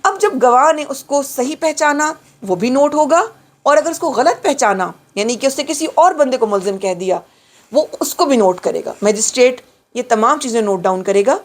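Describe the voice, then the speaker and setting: A middle-aged Pakistani woman giving an explanatory lecture, emphatically repeating and rephrasing key points and mixing in English phrases. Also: a little high. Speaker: lawyer giving a law lecture.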